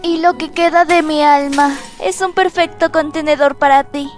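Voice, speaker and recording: A woman's voice: high-pitched, steady tone, moderate pace, lightly acted. A woman voicing a fond, resigned dying child in a Latin American Spanish cartoon fandub. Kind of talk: dramatic animation fandub. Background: music.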